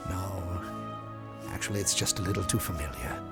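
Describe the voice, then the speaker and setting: An actor playing a whimsical, eccentric bookshop owner in an audio drama, theatrical and playfully erudite. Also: male voice, low voice, moderate pace, loud music behind the voice. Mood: knowing, earnest.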